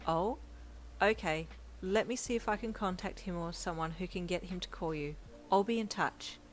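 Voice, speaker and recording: A woman reading a story, voicing a cheerful, kind male chief editor with a bright, upbeat manner. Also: lowish voice, moderate pace, soft background music, close to an everyday voice, poor audio.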